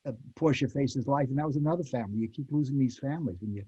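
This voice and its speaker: A man's voice: mid-range voice, very fast, dark tone, slightly rough. An older man, a panel guest, reminiscing casually in a rambling way, recorded with dull lo-fi sound. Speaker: panel guest, former radio actor.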